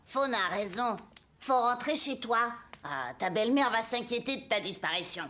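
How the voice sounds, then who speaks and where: A woman's voice: on the high side, animated, normal speed, fairly bright tone. A woman voicing an eager, spirited little forest dweller in a French cartoon dub, talking informally. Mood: concerned. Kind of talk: French-dubbed cartoon acting.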